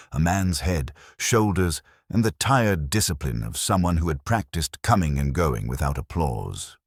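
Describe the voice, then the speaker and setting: A man reading a first-person detective story as narrator, in a dry, measured noir manner. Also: a bass voice, slightly rough, no echo.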